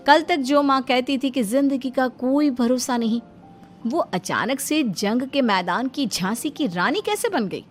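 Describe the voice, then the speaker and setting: A woman's voice: high-pitched, animated, unhurried, soft background music. A woman storyteller delivering scripted narration for a cartoon family moral tale.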